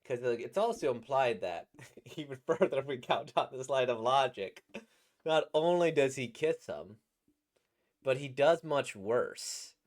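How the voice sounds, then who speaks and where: A man with a slightly squeaky, trebly voice, a voice actor casually reacting to comics in a rambling, playful way. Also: lively intonation, measured pace. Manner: rambling, playful, laughs at his own jokes.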